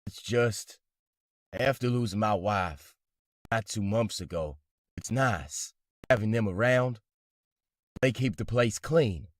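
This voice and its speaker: A man's voice: fairly low pitch, slow, long pauses, no echo, studio quality. An actor playing a weary, widowed old retired salesman, mild and plainspoken, in a comic dub. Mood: wistful. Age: older. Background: loud background music.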